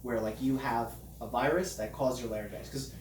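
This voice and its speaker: Calm man explaining, echoey room. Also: mid-range voice, brisk, far from the mic, slight background noise. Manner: calm, explains plainly with everyday examples. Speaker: doctor. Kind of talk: casual explanatory chat. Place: hot room under studio lights.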